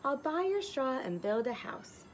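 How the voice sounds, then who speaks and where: A cocky little storybook pig acted out by a woman, brash and dismissive. He exclaims in a high, sing-song voice over faint background noise. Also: sing-song, a little slow.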